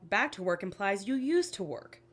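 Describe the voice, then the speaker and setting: An actress playing a practical, exasperated wife with a dry, pointed way of talking in an audio drama. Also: female voice, medium pitch, sing-song, moderate pace. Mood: sharp, dry. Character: practical, sharp-tongued, exasperated wife of a magician.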